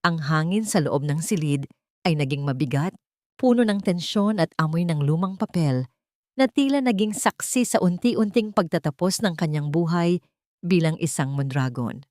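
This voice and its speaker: A Filipino woman narrates a scripted love drama in a low voice. The delivery is dramatic storytelling, and the recording is dry and close. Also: expressive, normal speed, a little mellow, dry recording.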